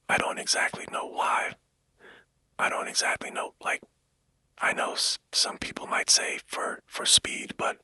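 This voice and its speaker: A man speaking in a breathy, unvoiced whisper, rambling casually and hesitantly in a soft ASMR-like monologue. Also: dry recording.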